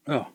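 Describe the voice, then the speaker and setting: Hoarse, hesitant man rambling. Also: fairly bright tone. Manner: hesitant, lots of ums and restarts, wry asides. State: slightly hoarse. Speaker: video game collecting vlogger. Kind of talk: casual rambling vlog to camera.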